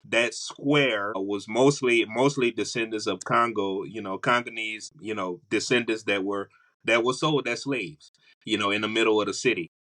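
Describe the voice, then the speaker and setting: Bright, forceful Southern US man, lowish voice. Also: fairly even intonation, moderate pace. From Louisiana, USA. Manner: informal, repeats phrases as he builds a point. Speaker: podcast host. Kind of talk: casual conversational podcast talk.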